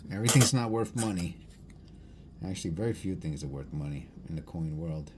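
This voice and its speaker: Low-voiced man rambling casually, noisy recording. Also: animated, fairly quick, a little mellow. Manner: musing aloud, repeats and corrects himself. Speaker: coin hunting video host. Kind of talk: rambling casual chat while sorting coins.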